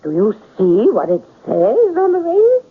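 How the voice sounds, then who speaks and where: An actress in a 1970s radio drama playing a blunt but kindly shopkeeper with a husky voice and an insistent, questioning manner. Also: female voice, sits high, wildly swooping pitch, a little slow, exaggerated voice. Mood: blunt, urging. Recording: tinny 1970s radio broadcast.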